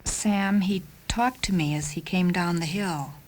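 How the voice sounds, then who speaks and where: A woman voicing a soft-spoken, lovelorn female car with a mind of her own in a radio story, hushed and hesitant. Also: fairly low pitch, measured pace, low-quality recording. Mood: subdued. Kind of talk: radio story character acting.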